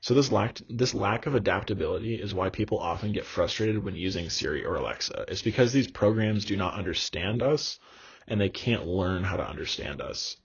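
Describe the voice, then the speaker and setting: Man, lecturer, gravelly, husky, slightly low voice, measured explanations, dull lo-fi sound. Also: animated. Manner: measured, explanatory, frequent ums and uhs. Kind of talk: recorded course lecture. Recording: dull lo-fi recording.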